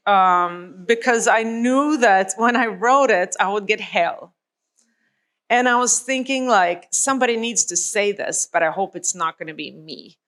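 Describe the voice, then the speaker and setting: A woman's voice: on the high side, a bit bright. A woman giving a public lecture in a conversational way, with many fillers and rhetorical questions. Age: adult with a young child.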